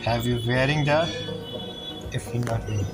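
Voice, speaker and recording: A man's voice: mid-range voice, normal speed. Male game streamer rambling over music. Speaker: gaming streamer. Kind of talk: casual gameplay commentary. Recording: game music clearly behind the voice.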